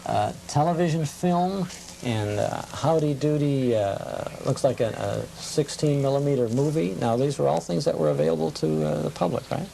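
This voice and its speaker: A man's voice: on the high side, normal speed, gravelly, warm tone. A man hosting a casual interview, chatty and musing, often seeking confirmation from his guest. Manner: musing, chatty, seeks confirmation with tag questions. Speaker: talk show host. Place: television program set. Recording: noisy, deteriorated old cable TV tape.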